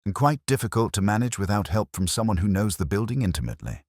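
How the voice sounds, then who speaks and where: A man voicing a calm, polite police inspector in an audio drama, gently and patiently questioning. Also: lowish voice, quick pace, no room sound, clean studio sound, pitched above the performer's own voice. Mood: gently probing. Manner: gentle, pleasant, quietly probing. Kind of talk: audio drama character reading.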